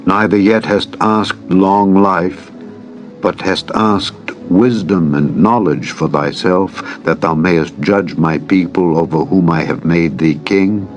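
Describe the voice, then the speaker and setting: A man voicing a solemn, generous God in a scripture audiobook, with grave, formal authority. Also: low-pitched. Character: God, solemn, generous, all-powerful male deity. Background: background music.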